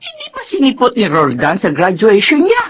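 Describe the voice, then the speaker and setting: A very animated elderly Filipino grandmother, swooping in pitch as she fusses sympathetically. It is radio soap acting, with some noise and ambience behind. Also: normal speed. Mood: concerned, chatty. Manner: animated, fussing.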